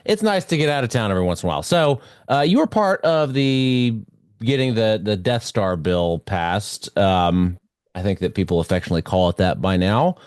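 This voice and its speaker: A man's voice: lowish voice, animated, normal speed, darkish tone, smooth, dry recording, clean studio sound. A man hosting a podcast interview, friendly, with frequent stammered restarts. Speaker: podcast host.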